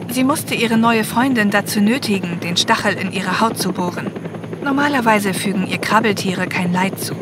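A woman reading scripted story narration as the narrator of an audio drama. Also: mid-range voice. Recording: clear helicopter and effects noise behind. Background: ambience, helicopter, vehicles.

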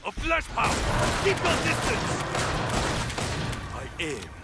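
An actor playing a fierce, honourable demon-slaying warrior in a video game, barking terse broken phrases. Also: male voice, very high-pitched, wildly swooping pitch, slow pace, creaky, loud music behind the voice, exaggerated voice. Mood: fierce battle cries. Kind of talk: video game combat barks. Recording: game audio over gunfire and ambience.

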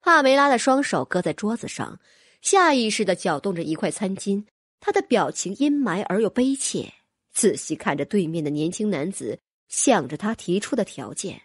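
A woman's voice: mid-range voice, very animated, normal speed, slightly rough, no echo, clean studio sound. A woman narrating fantasy fiction as an audiobook reader, in a scripted, dramatic storytelling style.

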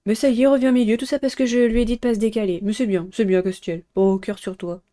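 A woman's voice: fairly high pitch, steady tone, fast talker, mellow. A young woman playing a visual novel, reading dialogue aloud and commenting in a playful, teasing way. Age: young adult.

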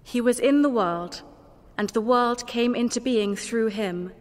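A woman's voice: a little high, a little slow. Woman reading scripture in a reverberant church. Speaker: scripture reader at a carol service. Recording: long reverb of a large church.

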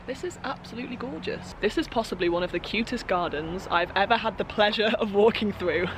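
A woman's voice: medium pitch, expressive, fairly quick, noisy background. A young woman filming herself on a road trip, talking to camera with bubbly enthusiasm and frequent laughs. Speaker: travel vlogger. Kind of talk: excited travel vlog to camera. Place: outdoors and in a car on a road trip. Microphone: camera held at arm's length.

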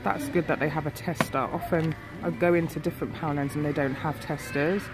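A woman's voice: deep voice, steady tone, fairly quick. Woman, stuffy nasal voice, chatty shop vlog over music. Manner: chatty, filler-heavy. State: nasal from a cold. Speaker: beauty vlogger. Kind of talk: casual shop walkthrough. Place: shop aisle, indoors. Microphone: handheld phone camera.

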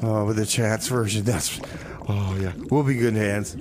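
A man's voice: fairly low pitch, steady tone, a little slow, a loud crowd. A man hosting a radio music show with casual, slangy banter, often repeating his phrases. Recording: clear background noise.

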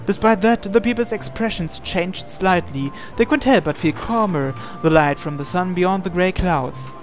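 A man's voice: sits high, normal speed. A man reading a visual novel aloud as narration, sometimes stumbling and repeating phrases. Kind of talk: dramatic read-aloud narration with asides. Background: game music.

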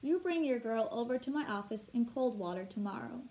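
A jolly storybook doctor, acted out by a woman, helpful and decisive. He speaks briskly in a fairly high voice. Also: expressive, mellow, natural voice. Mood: reassuring.

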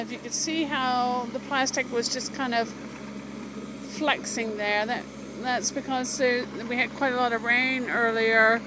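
Chatty woman filming outdoors by a digger. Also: fairly high pitch. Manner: chatty, many fillers and "you know". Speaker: homeowner filming renovation. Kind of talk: casual narration while filming. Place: outdoors at a building site. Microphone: phone camera held by the narrator. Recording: outdoor phone video with loud machinery. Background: digger engine noise.

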